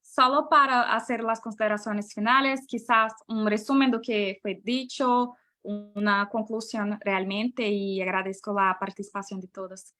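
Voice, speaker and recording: A woman's voice: mid-range voice, fairly even intonation, a little slow, few pauses, pushed and bright, faint music behind. Hesitant woman moderating a panel. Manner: hesitant, searching for words, self-correcting.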